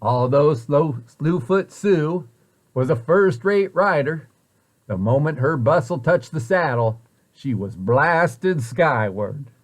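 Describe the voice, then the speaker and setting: A man reading a children's picture book aloud with expressive, deliberate storyteller phrasing. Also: fairly high pitch.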